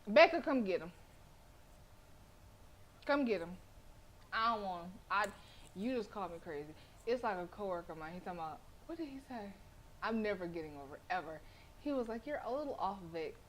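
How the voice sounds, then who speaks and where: A young woman streaming a game and reading along, talking in a loud, playful, slangy and animated way. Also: medium pitch, a little fast, long pauses, slight background noise. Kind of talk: casual gameplay commentary and reading.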